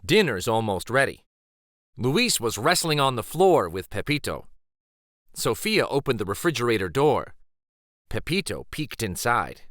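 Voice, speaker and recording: A man reading a children's picture book aloud in an expressive, deliberate storytelling style. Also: medium pitch, no room sound, clean studio sound. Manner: deliberate, animated.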